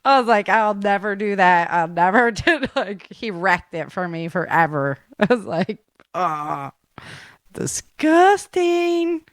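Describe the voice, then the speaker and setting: An American woman from the Great Lakes region hosting a casual chat in an animated, expressive way with slangy exclamations. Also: medium pitch, takes it slowly, no echo, clean studio sound. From Great Lakes region, United States. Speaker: host.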